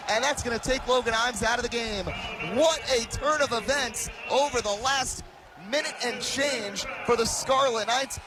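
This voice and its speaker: A man in his early twenties doing live radio basketball play-by-play, with an emphatic, excited delivery that rises to shouts. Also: very high-pitched. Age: early 20s. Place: courtside in a large arena.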